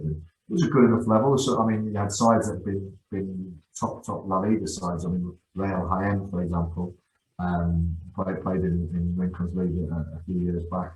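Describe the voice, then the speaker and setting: A middle-aged man with a very low voice speaks as a guest on a casual podcast. He is enthusiastic and keeps repeating and restarting his words. His voice comes across with a noticeable room echo. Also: darkish tone. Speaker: guest, youth football coach. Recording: echoey room.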